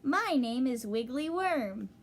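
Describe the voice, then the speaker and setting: A woman's voice: sits high, expressive, takes it slowly, heavily acted. A woman voicing a helpful, friendly, funny male worm in a children's storybook, speaking in simple encouraging phrases.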